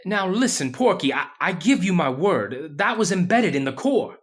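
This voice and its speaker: A jittery, skinny rig geologist played by a male actor in old-time radio horror. His speech is nervous and halting, with a pitch that moves around a lot. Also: very animated. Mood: urgent, alarmed.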